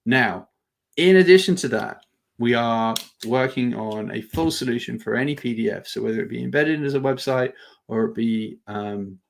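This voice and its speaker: A man presents an informal live product demo with noticeable vocal fry. His delivery is halting and conversational, as he thinks aloud while he explains things to attendees. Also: medium pitch. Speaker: webinar presenter demonstrating software.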